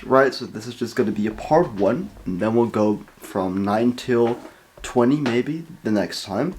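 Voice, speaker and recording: Excitable young guy reacting to game clips, cursing, lots of um and like. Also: on the low side, a little hiss or hum. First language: Dutch. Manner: excitable, swears, lots of fillers. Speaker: reaction video host. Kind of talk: casual reaction commentary.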